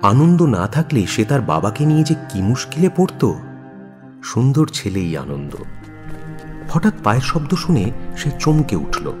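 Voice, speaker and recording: A man's voice: medium pitch, very animated, measured pace, faint music behind. A man narrating a scripted audio story expressively, in the role of narrator.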